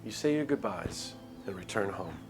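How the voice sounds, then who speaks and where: A man with a fairly low voice narrates a visual novel for a stream. His delivery is measured and expressive, in a storytelling style. Music plays clearly behind his voice. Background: background music.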